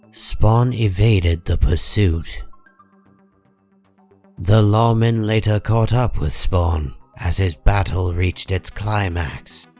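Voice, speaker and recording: A man reading scripted dramatic comic book narration in a measured, solemn manner. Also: low voice, a little mellow. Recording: music behind the voice.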